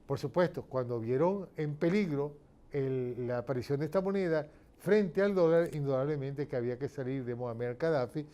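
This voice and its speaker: A man's voice: medium pitch, normal speed, mellow. A man with a Venezuelan accent giving an informal explanatory lecture, emphatic and didactic, posing rhetorical questions and checking in with listeners. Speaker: political commentator giving a lecture.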